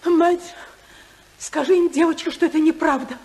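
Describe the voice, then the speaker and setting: Older society mother, very high voice, tremulous, pleading, melodramatic radio play. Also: fairly even intonation, normal speed, a little mellow. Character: pleading, anguished older mother of a wealthy family. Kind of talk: 1950s radio drama acting.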